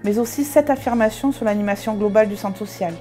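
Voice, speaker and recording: A woman reading a scripted documentary voice-over in an even, measured way. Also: mid-range voice, faint music behind. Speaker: social centre staff narrator.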